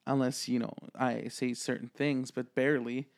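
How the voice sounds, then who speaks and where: Casting: male podcast co-host in his twenties, Midwestern accent, average pitch, loose joking chat with plenty of cursing. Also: level tone, moderate pace. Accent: Midwestern American. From American Midwest. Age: 20s. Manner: joking, swears freely. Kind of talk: casual comedic podcast chat.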